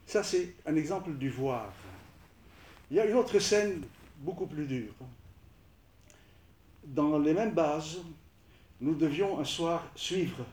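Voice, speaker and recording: A man whose first language is Arabic, recounting his film work to an audience in a halting way, repeating words as he restarts. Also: a little high, lively intonation, darkish tone, far from the mic. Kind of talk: spoken recollection to an audience. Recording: echoey room, voice from off-mic.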